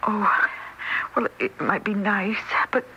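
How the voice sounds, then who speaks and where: Frightened, frail older wife, bright-voiced. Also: female voice, medium pitch, animated. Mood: jumpy, uneasy. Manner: halting, breathy exclamations, anxious insistence. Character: frail, frightened dying wife, jumpy and stubborn. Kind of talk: old-time radio drama acting. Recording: dull, hissy old radio broadcast recording.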